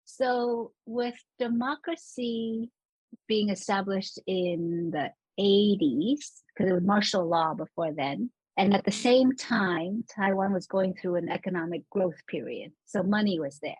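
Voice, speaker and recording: A woman scholar from Los Angeles explaining urban planning in a casual interview, animated and laughing now and then. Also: medium pitch, measured pace, breathy edge, dry recording, rough recording.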